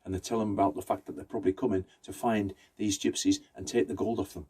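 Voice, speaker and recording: A man's voice: on the low side, little pitch movement, fast, mellow, slightly rough. A man telling a folktale conversationally, in short phrases with rhythmic repetition. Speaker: Romani storyteller. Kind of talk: oral folktale storytelling.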